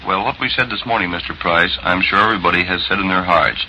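Male guest on 1940s radio link, scripted appeal, deep and rapid, slightly noisy. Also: pushed and bright. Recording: dull remote radio link, faint noise.

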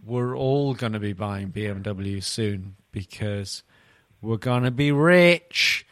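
A man's voice: on the low side, takes it slowly, a bit bright, a little hiss or hum. A man hosting a podcast in casual comic banter, teasing his co-hosts with mock bafflement. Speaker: podcast host.

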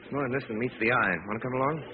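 A man's voice: mid-range voice, steady tone, rapid-fire, close to an everyday voice. A male actor playing a suave, concerned amateur detective in a vintage radio drama, on a tinny, very noisy recording. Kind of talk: 1930s radio acting.

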